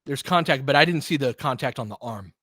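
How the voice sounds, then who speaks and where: A man doing live basketball play-by-play as an excitable, partisan fan, chatting casually with his viewers. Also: mid-range voice, fairly quick, no echo, studio quality. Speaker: fan play-by-play commentator, streamer.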